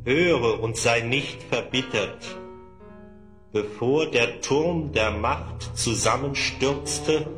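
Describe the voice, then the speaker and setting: A man playing a kindly, wise old wizard who speaks in a measured, solemn, instructive way in a fantasy audio drama. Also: medium pitch, a bit bright. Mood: solemn, reassuring.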